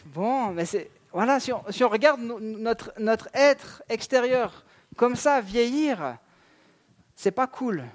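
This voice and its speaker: A man's voice: extremely high pitch, expressive, takes it slowly. A man in his thirties, a pastor giving a sermon in a church, talking in a conversational way with fillers and asides. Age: 30s. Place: church sanctuary.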